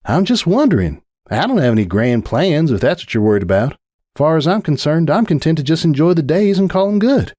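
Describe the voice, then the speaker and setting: Man putting on a folksy, higher-pitched farm mare. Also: very animated, a little mellow, no echo, studio quality. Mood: teasing, easygoing. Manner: folksy, slow, reassuring. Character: young female farm pony, kindly, steady, teasing.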